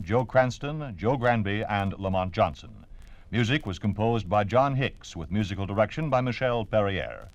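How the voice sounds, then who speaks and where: A man's voice: medium pitch, no room sound, lo-fi sound. An American man announcing in 1950s radio style, reading a scripted narration in a solemn, formal, emphatic way. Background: music.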